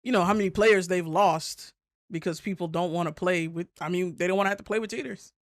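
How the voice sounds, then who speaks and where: A man's voice: sits high, a little fast, dry recording, clean studio sound. A man co-hosting a casual gaming news chat, hesitant in his phrasing and freely swearing. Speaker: podcast co-host.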